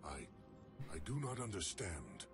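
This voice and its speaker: An actor playing a devout alien warrior in a video game cutscene, speaking gravely and formally. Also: male voice, low-pitched, wildly swooping pitch, measured pace, exaggerated voice. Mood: troubled, grave. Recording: game audio with music underneath.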